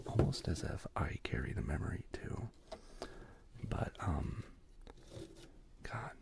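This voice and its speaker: A man hosting a casual, rambling show-and-tell in a soft, gravelly ASMR whisper, with slangy asides. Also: lowish voice. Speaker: host.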